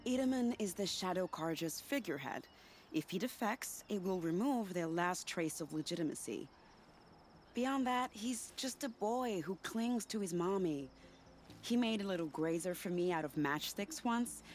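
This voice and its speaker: Woman acting sly, lilting low-voiced spy. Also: expressive, normal speed, slight background noise, natural voice. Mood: earnest, persuasive. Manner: teasing, silky, dramatic. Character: sly, theatrical female spy, confident and scheming. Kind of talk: video game cutscene dialogue acting.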